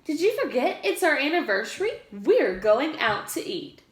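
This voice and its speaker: A woman's voice: sits high, big pitch swings, moderate pace, echoey room. A woman voicing a fond but firm mother frog, patiently giving instructions in a storybook reading. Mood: calling, instructing.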